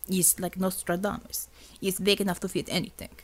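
A woman voicing a gruff Russian male soldier in an audiobook, terse and grunting, with clipped broken English. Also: fairly low pitch, flat delivery, moderate pace, close to an everyday voice.

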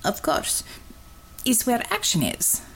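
A woman's voice: fairly high pitch, huge pitch swings, slow pace, slight background noise, exaggerated voice. A woman voicing a brash, tough Russian commissar with a put-on Russian accent, speaking bluntly in clipped phrases without articles, read as an audiobook character. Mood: mocking.

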